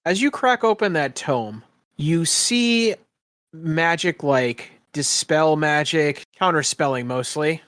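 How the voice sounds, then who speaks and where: A man with a slightly high voice runs a tabletop fantasy game as game master. He improvises his narration piece by piece, in a halting way. The sound is close and dry. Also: animated, a bit bright, dry recording.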